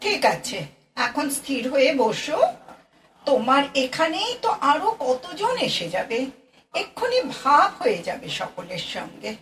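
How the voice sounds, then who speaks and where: Casting: woman as bustling schoolteacher niece for radio drama, very high and swooping, chiding but reassuring. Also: measured pace, recorded from a distance, heavily acted. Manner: chiding yet reassuring, animated. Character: lively schoolteacher niece seeing her aunt onto a train. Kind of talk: amateur audio play acting. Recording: echoey room, off the mic.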